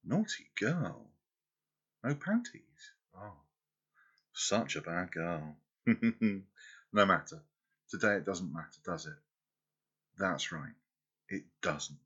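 A man performing an intimate audio roleplay, speaking softly and teasingly as if close to the listener's ear. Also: deep voice, sing-song, slow pace, pauses often, breathy edge, dull audio.

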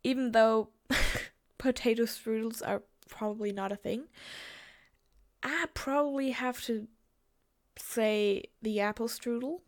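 Casual chat from a young German woman with a lisp and a German accent, speaking in a fairly high voice. she hesitates a lot and laughs often while answering questions from her listeners. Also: slow pace, long pauses. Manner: hesitant, giggly, lots of fillers.

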